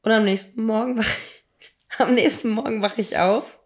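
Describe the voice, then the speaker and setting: A woman in her thirties, hoarse from illness, tells personal stories in a casual, emphatic, slangy way. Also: a little high, fairly even intonation, moderate pace. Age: 30s. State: hoarse, ill. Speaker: podcast co-host.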